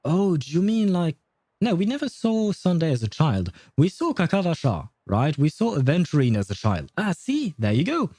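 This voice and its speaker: A man's voice: a little high, huge pitch swings, moderate pace, mellow, no echo. A man livestreaming a game in casual chat, rambling and hesitant as he thinks aloud. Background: game music.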